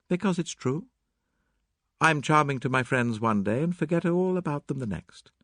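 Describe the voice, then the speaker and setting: A man's voice: on the high side, expressive, normal speed, fairly bright tone, dry recording, clean studio sound, voice pushed up. A man voicing a poised, enigmatic woman with a wry, understated manner in an audiobook. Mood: cool, candid. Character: cool, enigmatic upper-class English woman, quietly teasing. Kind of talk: dramatised audiobook reading.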